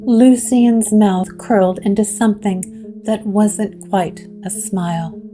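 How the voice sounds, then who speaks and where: A woman narrator reading scripted gothic horror in a measured way, with dramatic pauses. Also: medium pitch, steady tone, warm tone, soft background music.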